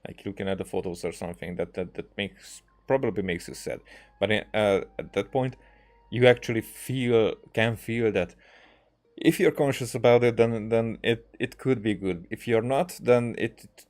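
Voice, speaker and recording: Low-voiced man, rambling game commentary. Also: darkish tone. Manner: halting, rambling, circling back over words. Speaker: gaming streamer playing and commenting on a game. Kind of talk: casual unscripted game commentary.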